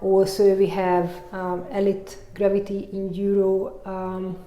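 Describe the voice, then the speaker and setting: A woman's voice: medium pitch, completely flat delivery, takes it slowly, dull audio. Chatty Hungarian-accented woman, echoey room. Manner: chatty, laughing, many "you know" fillers. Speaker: mountain bike coach. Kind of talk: casual interview chat.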